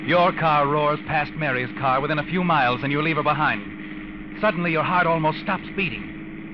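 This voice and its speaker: An American man acting as the ominous, taunting narrator of a 1940s radio crime thriller, telling the story deliberately to the culprit. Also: a little high, background noise, close to an everyday voice. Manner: taunting, deliberate, suspenseful second-person storytelling. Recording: dull old radio recording, loud music behind. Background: dramatic music.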